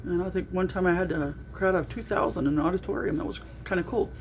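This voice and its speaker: A middle-aged woman with a naturally loud voice, talking through a casual video diary with lots of ums and restarts. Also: lowish voice, fairly even intonation, fast, slight background noise. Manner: rambling, with frequent ums and restarts. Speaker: vlogger.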